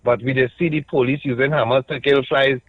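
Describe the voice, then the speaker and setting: A man's voice: mid-range voice, fairly even intonation, no room sound. A man with a Trinidadian accent calling in to a radio talk show, arguing his point step by step and often checking in with "right?". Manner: measured, argues point by point with frequent "right?". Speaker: radio caller. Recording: muffled dry call-in line.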